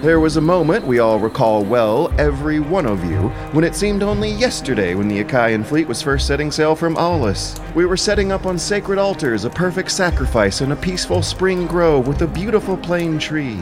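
A male actor plays a folksy, cunning Greek commander in an audio drama. His voice sits fairly high, and he coaxes weary men like a theatrical storyteller with ornate turns of phrase. Music runs clearly underneath. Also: lively intonation, moderate pace, hardly any pauses, natural voice. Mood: coaxing, persuasive.

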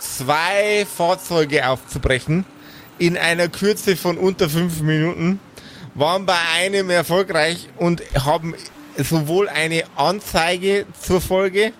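A man acting out a stern, officious guard in a role-play audio game. His voice sits a little high and he scolds formally. The recording carries faint noise. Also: slow, fairly bright tone. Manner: formal, admonishing. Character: stern, officious male security guard at headquarters.

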